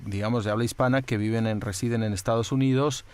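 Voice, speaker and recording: An older man hosts an interview in a mid-range voice. He speaks in measured, explanatory sentences with hesitant fillers. He talks through a headset on a video call. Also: mid-range voice, steady tone, smooth. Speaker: interviewer, host. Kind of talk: interview questions.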